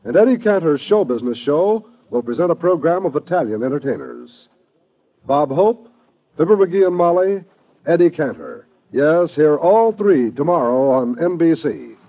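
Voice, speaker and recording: A man's voice: on the high side, very animated, moderate pace. A man with an American accent making scripted announcements in 1950s network radio style. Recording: muffled old radio recording.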